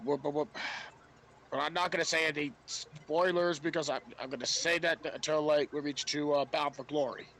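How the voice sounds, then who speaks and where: Higher-pitched man talking haltingly. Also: fairly even intonation, a bit bright, slight background noise. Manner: halting, repeats words. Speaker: podcast co-host. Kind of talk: casual wrestling review chat.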